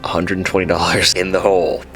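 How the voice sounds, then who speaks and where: A young man with a nasal, congested voice, chatting casually and excitedly as he unboxes and reviews a toy. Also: deep voice, quick pace, faint music behind. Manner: chatty, excited, rambling with fillers. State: sick and congested. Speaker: toy reviewer, channel host.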